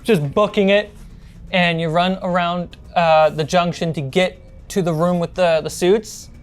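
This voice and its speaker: A woman's voice: on the low side, steady tone, measured pace. A woman running a tabletop role-playing game as game master, mixing scene narration with casual, playful table talk. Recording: music behind the voice. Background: music.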